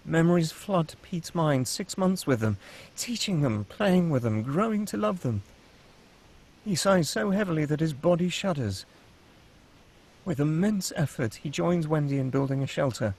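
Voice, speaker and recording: Husky, gravelly man narrating a screenplay. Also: on the high side, darkish tone, low-quality recording. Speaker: narrator reading stage directions. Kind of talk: dramatic scripted narration of a screenplay.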